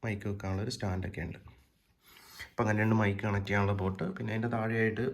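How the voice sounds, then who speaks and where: Kerala accent guy, kinda low voice, casual gear walkthrough, stops and starts mid sentence. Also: flat delivery. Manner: unscripted, hesitant with frequent pauses mid-sentence. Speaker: presenter of a vintage audio gear channel. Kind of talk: informal product walkthrough, explaining part by part.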